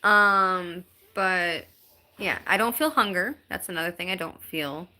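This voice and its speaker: A woman in her thirties with a bright, clear voice, talking casually to viewers on a phone live stream. She chats in a halting, easygoing way, full of ums and restarts, and reads comments aloud from home. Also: lowish voice. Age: 30s.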